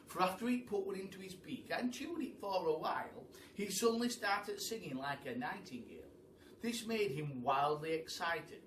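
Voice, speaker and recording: A man giving an animated, theatrical storybook reading for children. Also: a little high. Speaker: primary school storyteller. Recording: roomy echo, distant mic, faint noise.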